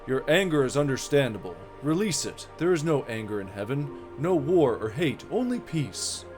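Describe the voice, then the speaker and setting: A man voicing a serene heavenly being who speaks softly, formally and solemnly in a visual novel reading. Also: mid-range voice, very animated, normal speed, soft background music, close to an everyday voice. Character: serene, soft-spoken heavenly being ushering the dead onward.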